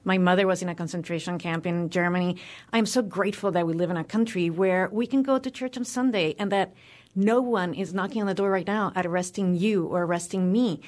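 A woman's voice: on the low side, a little fast, darkish tone. A woman with a Cuban American accent, speaking passionately as a guest in a radio interview. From United States. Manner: passionate, emphatic. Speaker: guest, religious freedom advocate.

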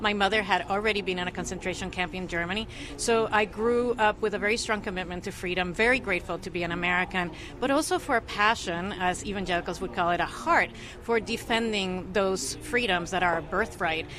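A woman's voice: medium pitch, fairly quick, crowd noise. A woman commissioner on religious freedom, speaking earnestly and fluently as a guest in a news interview.

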